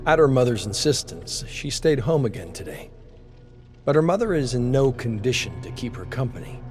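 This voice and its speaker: A man's voice: mid-range voice, very animated, normal speed, a little mellow. A man reading a scripted dramatic story as a narrator. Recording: voice over background music. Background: music.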